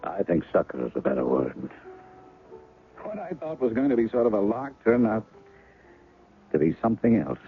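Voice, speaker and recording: An actor playing a rueful, blocked novelist with a wry, self-mocking manner. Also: male voice, lowish voice, big pitch swings, normal speed, pauses often. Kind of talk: 1970s radio drama acting. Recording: narrow 1970s radio broadcast, faint music.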